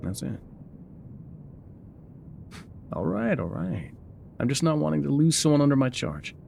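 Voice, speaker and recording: A man's voice: on the high side, big pitch swings, brisk, vocal fry, a little mellow, slight background noise, full character voice. A man playing an enigmatic, wry guide through dangerous wilds in an intimate ASMR roleplay, musing, teasing and often trailing off. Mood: wry, protective.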